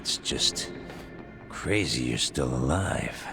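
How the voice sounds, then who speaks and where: A man's voice: very deep voice, expressive, takes it slowly, gravelly, music in the background, exaggerated voice. An actor playing a menacing, mocking male gangster in a video game dub, drawling his taunts with cool curiosity. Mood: mocking, puzzled.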